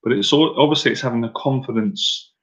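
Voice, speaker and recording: A man's voice: medium pitch, fairly quick, a bit bright, highs cut off. A man coaching viewers on a live stream about talking to women, speaking casually and directly, repeating himself and asking viewers to answer in the chat. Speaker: dating confidence coach.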